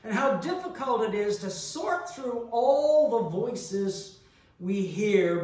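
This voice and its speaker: Slow-paced preacher in an echoing church. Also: male voice, sits high, darkish tone. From New Brunswick, Canada. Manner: deliberate, with long pauses and rhetorical questions. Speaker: preacher giving a sermon. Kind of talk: reflective sermon with personal anecdotes. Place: large reverberant church interior. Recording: echoey church, picked up from a distance.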